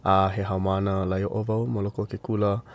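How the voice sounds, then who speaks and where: Halting young man, low voice. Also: level tone. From Hilo, Hawaii. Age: 20s. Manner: halting, with many ums and restarts. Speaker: interviewee, Hawaiian language teacher. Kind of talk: casual interview answers. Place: outdoors at a protest camp.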